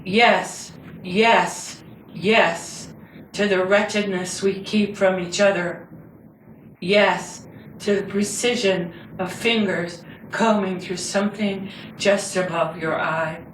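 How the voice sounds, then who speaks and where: Slow, echoey woman reciting poetry. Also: mid-range voice, steady tone. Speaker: poet reading own work. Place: indoor reading before a group. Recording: roomy, distant mic with background noise.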